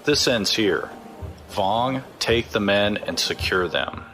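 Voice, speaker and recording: A man's voice: fairly low pitch, animated, takes it slowly. A man playing a stern, menacing corrupt police chief, curt and commanding, in an audio drama. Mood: menacing, firm. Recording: music behind the voice.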